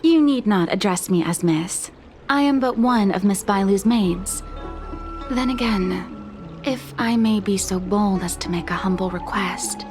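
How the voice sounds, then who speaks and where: A woman playing a deferential but quietly insistent maid in a video game, speaking formally and with measured politeness. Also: medium pitch, music in the background, lightly acted.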